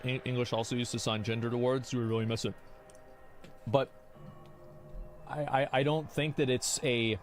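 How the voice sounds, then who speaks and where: Streamer type, young man, argumentative, rambling, mid-range voice, low music bed. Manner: rambling, argumentative, restarts often. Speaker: streamer reacting to chat. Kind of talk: casual opinion talk.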